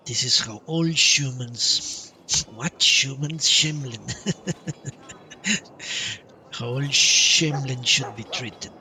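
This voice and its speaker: A male game streamer giving casual, halting live commentary with some roleplay, often repeating himself. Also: medium pitch, distant mic, slight background noise, low-quality recording. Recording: loud game music behind voice. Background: game music.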